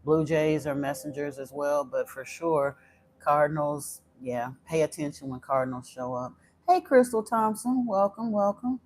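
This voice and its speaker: Casual livestream spiritual host from Florida, mid-pitched voice, frank and chatty, answers viewers one by one. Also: normal speed, slight background noise. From Florida, United States. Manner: chatty and direct, turns blunt and stern; frequent fillers and self-restarts.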